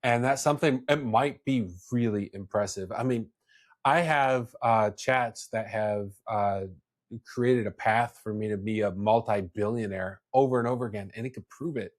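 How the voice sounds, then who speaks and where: A man's voice: fairly low pitch, normal speed. A man hosting a podcast, talking casually and explaining with personal examples, often repeating words as he goes. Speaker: podcast host.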